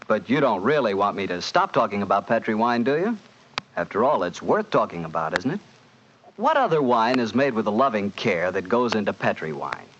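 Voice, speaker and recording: A man's voice: fairly low pitch, very animated, a little fast, a bit bright. An American man hosting in a polished, salesmanlike 1940s radio announcing style. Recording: muffled, noisy 1940s radio broadcast.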